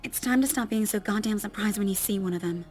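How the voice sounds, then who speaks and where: A woman's voice: mid-range voice, fast talker, darkish tone, soft background music, lightly acted. A woman playing a young survivor's tough, sardonic inner voice in an audio drama, blunt and self-scolding. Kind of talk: dramatized audiobook character thoughts.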